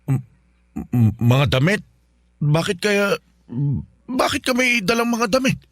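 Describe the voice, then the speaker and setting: A man's voice: high voice, sing-song, slow, fairly bright tone, no echo, studio quality, heavily acted. A man playing a flustered middle-aged father who stammers and repeats himself hesitantly in a Filipino radio drama. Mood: bewildered, flustered.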